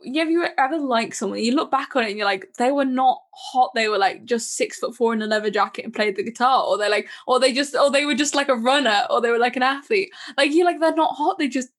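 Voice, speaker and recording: A woman's voice: sits high, quick pace, few pauses. Laughing woman in her twenties. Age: 20s. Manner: laughs often, animated. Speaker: podcast co-host. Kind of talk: casual podcast chat.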